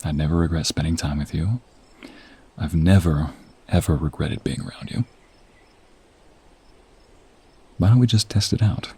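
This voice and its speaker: A man playing a confident, flirty office coworker in a romantic audio role play, with a smooth-talking, teasing, intimate manner. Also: rumbling low, moderate pace, darkish tone, exaggerated voice. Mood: persuasive, warm.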